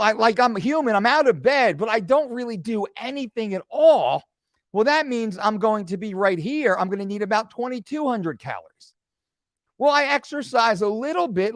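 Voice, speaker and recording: A man's voice: high-pitched, a bit bright, no echo, clean studio sound. A man in his forties talking through a screen-share fitness lesson, with joking asides. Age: 40s. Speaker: fitness coach.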